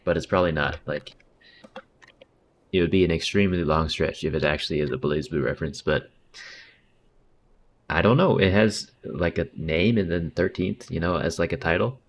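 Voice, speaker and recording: A woman's voice: rumbling low, normal speed, long pauses, slight background noise. A woman giving casual, slangy, joking livestream commentary over a game, with some swearing. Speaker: game streamer. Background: faint game music.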